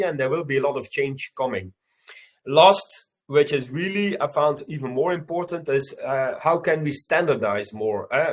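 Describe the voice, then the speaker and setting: A man with a Belgian accent gives an online conference talk in English, which is not his first language, over a video call. His voice sits a little high for a man, and his delivery is halting, full of fillers and restarts. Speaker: conference presenter.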